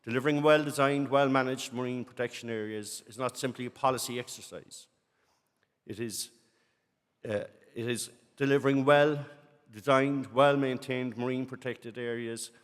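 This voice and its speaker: A man's voice: medium pitch, fairly even intonation, normal speed, clean tone. A man speaking as a lord mayor, giving a formal, scripted welcome speech to a conference audience. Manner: formal, ceremonial, reads from a script. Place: large city hall with an audience. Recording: long echoing reverb of a large hall.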